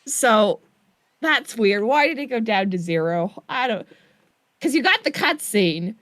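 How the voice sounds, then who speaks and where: Informal female co-streamer, slightly high voice, no room echo, sweary, repetitive off-the-cuff chat. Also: expressive, unhurried, fairly bright tone, studio quality. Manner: informal, swears, repeats phrases. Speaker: creator of the interactive story, troubleshooting it live. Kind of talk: casual chat.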